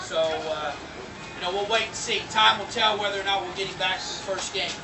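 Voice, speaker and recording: A man with a fairly high voice casually introducing his team, upbeat and full of fillers. He is heard from a distance in an echoey space. Loud music plays behind him. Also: fairly even intonation, fairly quick. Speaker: football coach introducing players. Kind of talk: informal team introductions to a crowd. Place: outdoor sports event.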